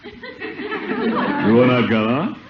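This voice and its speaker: Blustering, swooping pompous radio uncle. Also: male voice, mid-range voice, huge pitch swings, drawn-out words, over a noisy crowd, exaggerated voice. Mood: jovial, pompous. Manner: blustering, pompous, stammering when flustered. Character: pompous, blustering middle-aged uncle, easily flustered. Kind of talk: 1950s radio sitcom acting. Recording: muffled, noisy 1950 radio recording.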